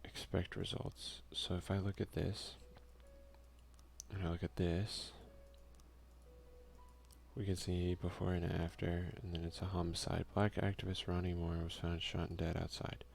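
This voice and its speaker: A soft-spoken man doing an ASMR let's play, reading game text aloud and commenting in a hushed voice that at times slips into a whisper. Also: deep voice, little pitch movement, a little slow, vocal fry, long pauses. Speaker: gaming video creator and player.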